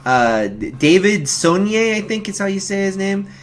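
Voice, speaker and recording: A man hosting a casual film commentary stream, talking in a halting, rambling, slangy way. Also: high voice, sing-song, soft background music. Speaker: host of a film commentary stream, amateur horror filmmaker.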